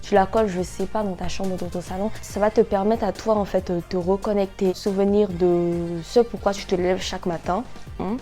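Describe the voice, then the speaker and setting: A woman of nineteen chatting casually to camera as a vlogger, in an animated, slangy way with English words mixed in. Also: mid-range voice, moderate pace, faint music behind. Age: 19, nearly twenty.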